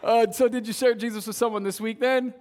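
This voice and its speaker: A young adult man, a youth pastor, preaching a casual sermon to a church congregation, his voice slightly raspy from shouting, often ending sentences with "right?". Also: extremely high pitch, steady tone, fast talker. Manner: conversational, tags sentences with "right?". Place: church service, in front of a congregation.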